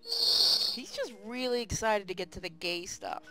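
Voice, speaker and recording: A woman's voice: mid-range voice, a little slow, sharp tone. A woman co-hosting a game stream, chatting casually in a teasing, sweary way. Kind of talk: casual chat, teasing co-host. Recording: music clearly playing behind voice. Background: music.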